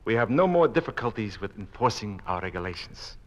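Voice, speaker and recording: An actor playing a smug, cruelly polite communist boss who gloats with mock courtesy, in 1950s radio drama style. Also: male voice, on the low side, sing-song, fairly quick, muffled recording. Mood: gloating.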